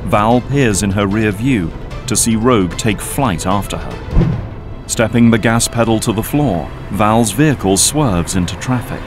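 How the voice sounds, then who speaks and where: A man's voice: on the low side, expressive, measured pace. A man reading scripted, dramatic narration as the narrator of an audio drama. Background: background music.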